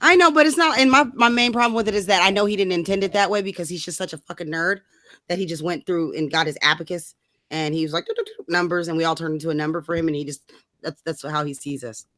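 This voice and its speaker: A woman's voice: fairly low pitch, quick pace, fairly bright tone, no echo, studio quality. A woman ranting casually about politics in a blunt, emphatic, sweary way, as a co-host.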